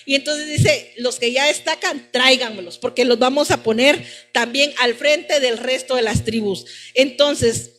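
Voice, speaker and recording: A woman's voice: high voice, a little slow, a bit bright. A woman teaches from scripture at a church service. She speaks didactically to the congregation, repeating phrases to drive points home. Music plays behind her. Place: church service, live-streamed.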